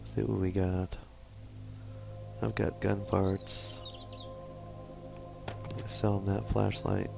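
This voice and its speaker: A man with a deep, crackly voice chats casually through a co-op game. He is matter-of-fact and gives directions, with music clearly audible behind him. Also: little pitch movement, drawn-out words, long pauses. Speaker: gaming video co-host and player. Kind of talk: casual co-op gameplay chat.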